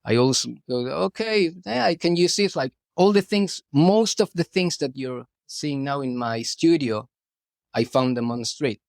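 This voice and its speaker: A man's voice: fairly high pitch, animated, moderate pace, creaky, a little mellow, no echo, clean studio sound. A middle-aged Argentine man, a guest artist, chatting loosely in an interview, restarting phrases and saying "you know" often. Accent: Argentine Spanish. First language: Spanish. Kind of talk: casual interview chat.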